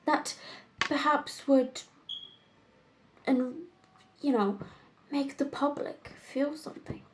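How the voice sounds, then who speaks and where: A young woman playing a witty, fussy upper-class society lady in a stage comedy monologue, deliberate and complaining. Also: high voice, fairly even intonation, noisy background.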